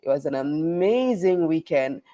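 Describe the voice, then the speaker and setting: A woman hosting a live show with a stuffy, hoarse voice from a cold, chatting upbeat with viewers over a video call. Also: deep voice, animated, a little slow, mellow. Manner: chatty, upbeat, reads viewer comments aloud. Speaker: show host. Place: hotel or conference venue, traveling.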